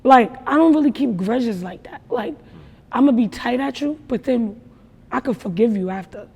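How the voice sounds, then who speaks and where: Raspy-voiced young woman rapper. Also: fairly high pitch, animated, normal speed, dark tone, big-room sound, highs cut off. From New York. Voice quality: naturally raspy voice. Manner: emphatic, slangy storytelling. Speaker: rapper guest. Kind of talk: casual interview talk.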